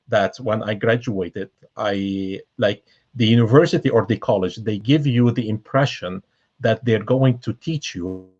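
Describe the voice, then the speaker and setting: A man's voice: fairly low pitch, a little mellow. A man from Lebanon, a guest in a casual conversation, explaining earnestly with many restarts. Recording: dull lo-fi call audio.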